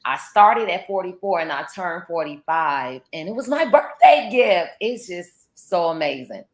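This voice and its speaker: A woman in her forties with a low voice talks about her life in an enthusiastic, casual way. She is a life coach and teacher on a video call. Her voice carries a roomy echo. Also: lively intonation, unhurried. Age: 40s. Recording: echoey room.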